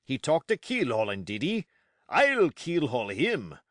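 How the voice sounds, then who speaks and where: A man voicing a forceful, wily old sailor who keeps a tavern, with blustering, salty seafaring speech, in an audiobook. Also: on the low side, huge pitch swings, a little slow, dry recording, clean studio sound, full character voice. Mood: blustering, excited. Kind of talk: audiobook character acting.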